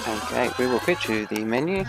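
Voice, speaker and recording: A man's voice: mid-range voice, lively intonation, brisk, loud music behind the voice, rough recording. A man hosting a gaming video, giving gameplay commentary in careful, formal phrasing with few contractions.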